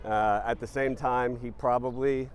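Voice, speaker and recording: A startup founder answering interview questions in a relaxed, thoughtful way, with many ums and uhs. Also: male voice, mid-range voice, a little slow, soft background music. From born in Panama, raised in New Jersey.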